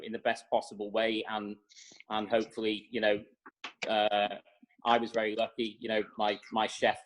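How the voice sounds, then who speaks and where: A man's voice: on the low side, rough recording. A man, answering interview questions steadily on a video call, with many fillers. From North Wales. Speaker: executive chef, guest.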